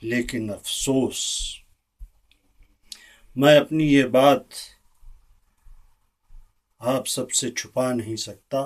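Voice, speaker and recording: An actor playing an earnest, disillusioned Indian statesman, delivering a theatrical political speech with deliberate, weighty pauses. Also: medium pitch, steady tone. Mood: disappointed. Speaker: actor performing a monologue. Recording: distant microphone.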